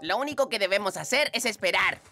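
A woman's voice: medium pitch, animated, fast talker, bright, forceful tone, faint music behind. A woman voicing an easygoing young boy kitten in a Latin American Spanish children's cartoon dub. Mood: thoughtful.

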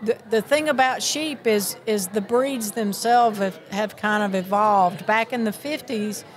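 A woman's voice: mid-range voice, unhurried. A woman in her sixties from Miami with a Southern accent, chatting earnestly and with many restarts in a live interview at a busy convention. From Miami, Florida. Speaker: sheep producers association president.

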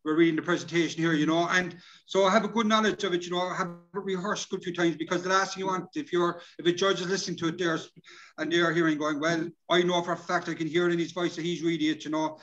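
A man's voice: fairly high pitch, steady tone, quick pace, fairly bright tone, highs cut off. A middle-aged man with an Irish accent presents a workshop informally and colloquially over a video call, repeating himself. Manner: colloquial, repetitive, addresses listeners as lads. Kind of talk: informal presentation, partly read from slides.

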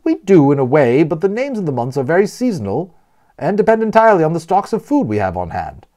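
A man's voice: a little high, very animated, quick pace, mellow, voice pushed up. A man voicing a genial, talkative man who explains things proudly and playfully as a guide in a storybook. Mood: proud, informative. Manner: explanatory, emphatic, playfully wordy.

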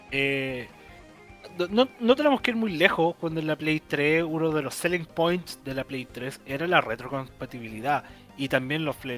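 Chilean co-host, slightly high voice, opinionated, headset call, faint music underneath. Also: male voice, a bit bright. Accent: Chilean Spanish. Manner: opinionated, thinks aloud with restarts. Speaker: video game news co-host. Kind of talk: casual panel discussion. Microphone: headset on video call.

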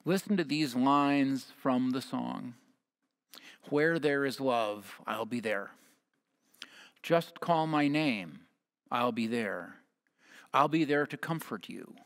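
An American man in his fifties preaching a sermon as a pastor, speaking in measured short phrases, partly reading from notes. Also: medium pitch, creaky. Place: church sanctuary at a lectern. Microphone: headset mic.

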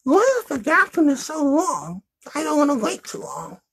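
A sassy young girl puppet, voiced by a man, chatting in a puppet show. The voice sits extremely high and moves around in lively swings. She is fussy and childlike in her replies. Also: moderate pace, creaky, full character voice. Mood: fussy, chatty.

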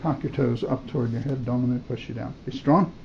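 A man's voice: mid-range voice, steady tone, a little fast. An older man working as a doctor, giving short exam instructions and brief encouragement to a patient in a hospital room. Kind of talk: clinical exam instructions, short commands and praise. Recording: dull, lightly noisy room recording.

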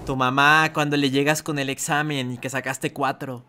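A man's voice: mid-range voice, level tone, moderate pace, pushed and bright, a little hiss or hum. A man giving casual live reaction commentary as a streamer. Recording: music under the voice.

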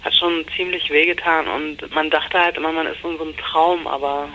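A woman recalls past events in an interview over the phone. Her voice is bright and sharp with a very level melody, and there is faint noise on the line. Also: sits low, steady tone, normal speed. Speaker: interviewee, relative of a missing person. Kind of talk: casual recollection. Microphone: telephone. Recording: noisy telephone call.

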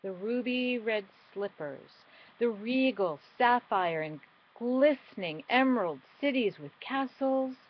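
A middle-aged woman performing a spoken-word poem with dramatic, deliberate phrasing that moves between emphatic and hushed. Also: on the high side, animated. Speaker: poet. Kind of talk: spoken-word poetry recital. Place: small indoor room at home. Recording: dull, lo-fi webcam sound.

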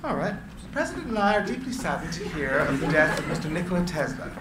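Gravelly man reading stage directions. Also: sits high, fast talker. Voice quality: gravelly from recording work. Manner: clear, expressive reading. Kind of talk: script reading narration. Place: meeting room with audience. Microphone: stand microphone. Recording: echoey room, background noise.

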